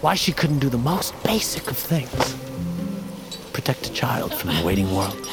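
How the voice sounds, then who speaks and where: An actor playing a brooding fantasy outcast fighter, speaking in poetic, old-fashioned phrases in a dramatic audio fiction style. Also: male voice, mid-range voice, sing-song, moderate pace, over loud music. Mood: bitter, wounded. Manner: poetic, archaic, sardonic turns of phrase. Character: brooding male fighter of the Nameless, abandoned as a child.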